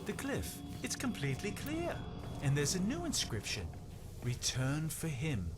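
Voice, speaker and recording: An actor playing a haughty, greedy relic dealer in a video game, speaking in a pompous, theatrical, disdainful way. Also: male voice, huge pitch swings, unhurried, over music. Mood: intrigued. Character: haughty, greedy male relic dealer scornful of local culture. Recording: noisy game sound, loud rumbling ambience.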